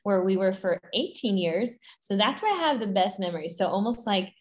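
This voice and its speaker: A woman's voice: medium pitch, lively intonation, fairly quick. Bubbly woman who laughs a lot. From Guatemala and Mexico. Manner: bubbly, laughs often, rambling asides. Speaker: podcast guest. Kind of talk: casual interview chat.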